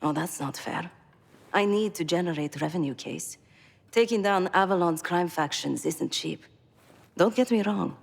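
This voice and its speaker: A self-possessed female mercenary in a game cutscene. Her voice is on the low side with a fry in it. She is dry and wry, heard in a long reverb over faint music. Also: normal speed. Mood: dismissive, guarded. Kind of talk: video game cutscene acting.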